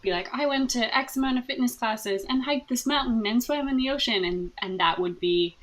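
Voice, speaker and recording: A soft-spoken woman therapist from Canada, speaking thoughtfully in a podcast interview and laughing often. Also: fairly high pitch, brisk, a little hiss or hum. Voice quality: soft voice with gravelly fry.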